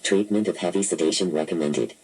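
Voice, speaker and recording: A man's voice: low voice, a little fast, rough recording. A synthetic-style male voice playing a cold computer system that reads out patient files in clipped, emotionless phrases. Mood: cold, clinical. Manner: flat, clinical, emotionless. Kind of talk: clipped readout of clinical records.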